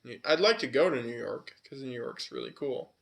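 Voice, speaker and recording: A man's voice: medium pitch, lively intonation, moderate pace, gravelly, a bit bright. A man from California with a slight Southwestern tinge, co-hosting a podcast in rambling casual chat with lots of fillers and swearing. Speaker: podcast co-host.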